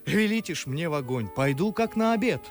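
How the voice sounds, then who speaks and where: An actor playing a witty, talkative, mocking young gentleman in an ardent, ironic verse radio drama. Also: fairly high pitch, lively intonation, fairly quick, soft background music. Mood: ardent, pleading. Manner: ardent, ironic, theatrical declamation. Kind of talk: Russian classical verse radio drama acting.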